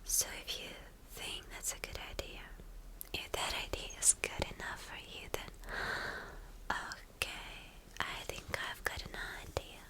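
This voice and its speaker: Woman playing a slow-whispering villainess girlfriend. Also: lightly acted, low-quality recording. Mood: possessive, teasing. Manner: coaxing, domineering, slow and teasing endearments. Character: spoiled, cruel, possessive villainess girlfriend craving fur. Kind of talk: ASMR roleplay, whispered.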